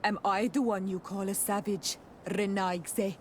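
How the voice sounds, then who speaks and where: A woman's voice: mid-range voice, fairly even intonation, a little slow, slight background noise, natural voice. A woman playing a proud, earnest young native princess in a video game cutscene. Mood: indignant.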